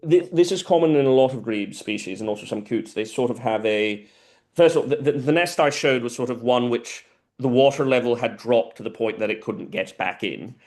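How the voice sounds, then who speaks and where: A man's voice: mid-range voice, brisk, a little mellow. A man from South America, a native Spanish speaker, answering audience questions at a seminar in an informal, detailed way. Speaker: guest lecturer.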